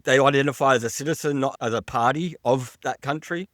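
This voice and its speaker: A man's voice: medium pitch, brisk, a bit bright, no echo, studio quality. A man with a non-American accent co-hosting a podcast, asking probing questions and repeating himself as he builds a point. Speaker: podcast co-host.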